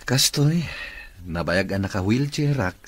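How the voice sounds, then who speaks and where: Husky, slow-talking boss. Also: male voice, fairly low pitch, lively intonation. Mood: subdued. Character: male boss recovering in a wheelchair, subdued. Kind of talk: Ilocano radio drama acting.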